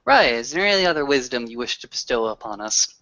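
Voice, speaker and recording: A man's voice: medium pitch, sing-song, quick pace, bright, forceful tone, lo-fi sound. A man playing an earnest, grandiloquent werewolf storyteller with a formal, oratorical delivery in a tabletop roleplay. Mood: earnest, rallying. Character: grandiloquent male werewolf storyteller, earnest, rallying his pack.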